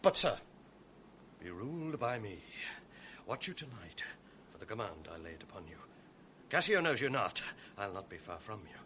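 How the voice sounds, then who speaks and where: Sly, mocking stage villain, lively swooping delivery, noisy old radio broadcast. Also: male voice, on the low side, normal speed. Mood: persuasive. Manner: sly, mocking, drops to venomous whispers. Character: scheming, bitter male villain ensign. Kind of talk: classic radio drama acting. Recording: old broadcast with audible noise.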